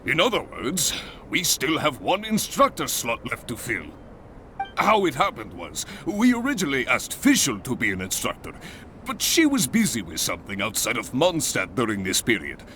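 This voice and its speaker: A polite, earnest game character who runs guild affairs. He explains in a bright, forceful voice with very animated swings of pitch, over faint background noise. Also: moderate pace. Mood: concerned. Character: earnest, grateful male adventurers' guild organizer. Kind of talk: game voice acting.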